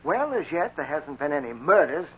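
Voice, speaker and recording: An actor playing a brisk, authoritative police inspector giving orders in 1940s radio drama style. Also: male voice, a little high, big pitch swings, a bit bright, husky, exaggerated voice. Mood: concerned. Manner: brisk, official. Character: authoritative, concerned police inspector briefing his sergeant. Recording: dull 1940s radio recording.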